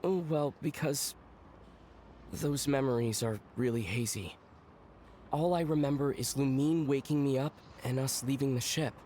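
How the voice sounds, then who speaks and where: A man's voice: medium pitch, darkish tone, slight background noise, lightly acted. Thoughtful young traveler hero voiced by a man. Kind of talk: video game character dialogue.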